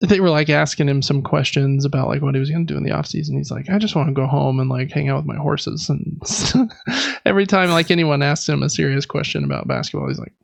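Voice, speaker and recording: A man's voice: fairly high pitch, lively intonation, quick pace, creaky, dry recording, muffled recording. A man co-hosting a podcast in easygoing, casual chat, close on the microphone. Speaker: podcast co-host.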